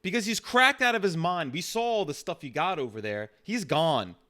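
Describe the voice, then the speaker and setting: A stern, fed-up male police officer, as a man acts him out in a roleplay game. He barks bluntly, swears and repeats himself, with his voice pushed up in pitch and hard-edged. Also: animated, moderate pace, bright, forceful tone. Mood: agitated, blunt.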